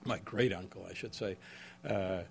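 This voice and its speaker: Older man in his seventies, from the Czech Republic, author talking to an audience, halting, lots of restarts, lowish voice. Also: steady tone, gravelly, slight background noise. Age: 70s. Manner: halting, with frequent restarts and self-corrections. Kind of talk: book talk to a live audience. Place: event room with an audience.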